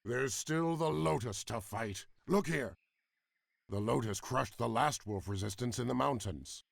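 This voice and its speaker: A man playing a gruff, blunt, battle-eager warrior lieutenant in a video game cutscene. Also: medium pitch, unhurried, no room sound. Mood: suspicious, advising.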